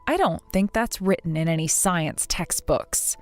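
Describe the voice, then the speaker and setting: A woman in her thirties voicing a patient, polite bride-to-be who speaks in a soft, measured, restrained way. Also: fairly low pitch, lively intonation, no echo, soft background music. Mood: hurt. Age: 30s.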